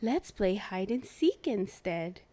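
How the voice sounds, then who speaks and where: A woman voicing a kind, polite old male zookeeper in a children's storybook, gently expressive and courteous. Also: mid-range voice, slow. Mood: tired, kind. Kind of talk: children's storybook read-aloud.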